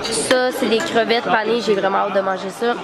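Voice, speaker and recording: A woman's voice: medium pitch, steady tone, normal speed, a loud crowd. A young woman with a Québécois accent, congested and tired, chatting casually while vlogging and using lots of fillers. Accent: Québécois French. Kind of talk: casual travel vlog.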